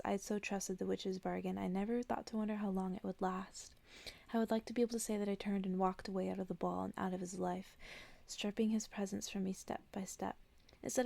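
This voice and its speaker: A woman reads a story for ASMR listeners in a very gravelly, fry-heavy voice at a middling pitch. She speaks softly and often slips into whispering, as a bedtime narration. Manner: soft-spoken, often dropping into whisper. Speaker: ASMR host reading a story aloud.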